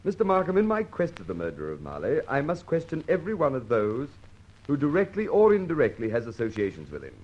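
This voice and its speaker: An actor playing a dogged, formal, firmly polite police inspector in an old-time radio detective serial. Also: male voice, medium pitch, huge pitch swings, fast, highs cut off. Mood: firm, accusing. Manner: formal, insistent.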